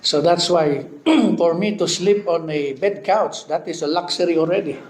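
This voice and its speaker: A man in his fifties with a Filipino accent preaching a sermon, often breaking in with amen and hallelujah and pausing on fillers. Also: on the high side, darkish tone. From Philippines. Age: 50s. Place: church hall. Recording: echoey church hall with faint background noise.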